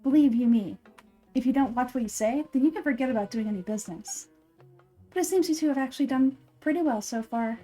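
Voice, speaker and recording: A woman's voice: high voice, quick pace, dark tone, soft background music. A woman voicing a shrewd, cocky female merchant in a video game, with sly, boastful sales patter. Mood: cocky, probing.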